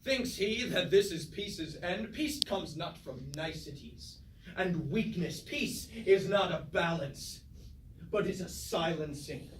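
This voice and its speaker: A man's voice: high voice, animated, takes it slowly. A man acting a bitter, war-hungry robot warrior villain in a staged tragedy, declaiming in archaic theatrical lines. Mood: scornful, resolute. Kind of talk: staged reading of mock-Greek tragedy. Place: indoor staged reading, mic at a distance. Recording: echoey room, distant mic, faint noise.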